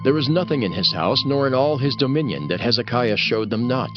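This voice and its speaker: A man's voice: medium pitch, animated, brisk. A man narrating scripture from a script in a solemn, dramatic storytelling style. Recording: dull sound under loud music. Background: music.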